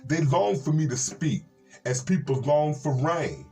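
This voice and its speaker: An American man, a reverend hosting a Bible program, reads scripture and commentary in a measured, earnest way with folksy asides. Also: on the high side, expressive, dark tone. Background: plucked guitar music.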